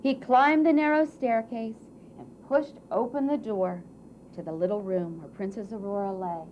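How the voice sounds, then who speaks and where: A woman narrating a children's fairy tale at a live puppet show, with dramatic, drawn-out phrasing that swells and hushes for emphasis. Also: medium pitch, steady tone. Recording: dull, noisy live event recording.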